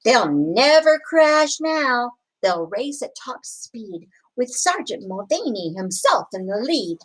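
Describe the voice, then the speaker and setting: Slow, higher-voiced woman reading a storybook. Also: sing-song, low-quality recording. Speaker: storybook reader. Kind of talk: expressive children's picture book read-aloud.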